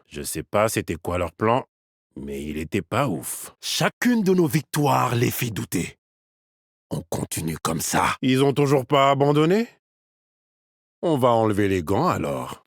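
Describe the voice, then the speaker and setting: A man's voice: medium pitch, creaky, slightly rough, no room sound, heavily acted. An actor playing a cocky male combat agent who teases his teammates, dubbed for a game in a dry studio. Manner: cocky, teasing, trash-talking. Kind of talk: French game dub voice lines.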